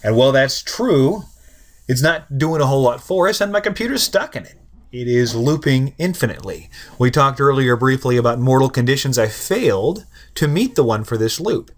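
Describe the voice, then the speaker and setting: A young man in his twenties walks through code on screen in a loose, conversational way. He cracks dry jokes and plays down his own skill. His voice sits at an everyday middle pitch. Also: lively intonation, moderate pace. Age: 20s. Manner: casual, joking, self-deprecating explainer. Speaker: programming tutorial host. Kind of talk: screen-recorded coding lesson.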